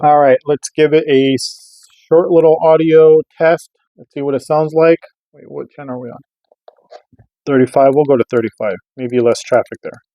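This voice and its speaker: A man's voice: a little high, steady tone, normal speed, dry recording, dull audio. Casual man reviewing gear, dry close sound. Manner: informal, loosely explanatory, rhetorical check-ins. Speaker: host of a radio gear review channel. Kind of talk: casual hands-on product review.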